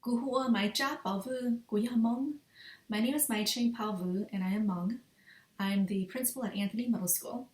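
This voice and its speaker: A woman principal gives a measured, formal introduction of herself. Her voice sits a little high. The room around her is echoey. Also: a little mellow, recorded from a distance, a little hiss or hum. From Thailand. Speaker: school principal. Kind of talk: self-introduction.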